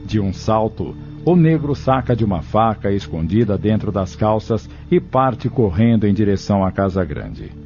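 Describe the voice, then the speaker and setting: A man's voice: fairly low pitch, big pitch swings, moderate pace, hardly any pauses, darkish tone. A man giving scripted, dramatic narration for an audio novel. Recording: dull, lo-fi recording with music.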